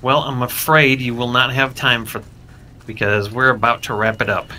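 A man's voice: mid-range voice, little pitch movement, pushed and bright, slight background noise. A man co-hosting a game stream on a headset mic, teasing and quipping in casual chat. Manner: teasing, quick quips. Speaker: co-host of a live stream.

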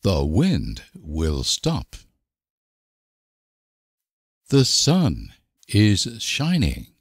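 A man reading short example sentences for language learners with deliberate, careful enunciation. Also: sits low, wildly swooping pitch, breathy edge, no room sound, studio quality. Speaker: language teacher.

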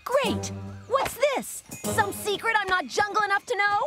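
A woman's voice: very high voice, unhurried. An actress playing an anxious, self-pitying young woman scientist in a cartoon, with a dramatic, exasperated delivery. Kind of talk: exaggerated cartoon voice acting. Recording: loud music underneath.

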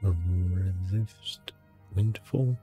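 A man giving soft, hushed ASMR gameplay commentary in a relaxed, unhurried manner. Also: low-pitched, music in the background. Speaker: gaming streamer, host.

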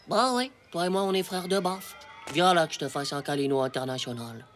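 A woman's voice: on the low side, fairly quick, natural voice. A woman voicing a brash, boastful, scheming ten-year-old boy in a French cartoon, with a cheeky, slangy, mock-confident way of talking. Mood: chummy. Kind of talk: French animated cartoon dialogue. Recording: music behind the voice. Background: cartoon music.

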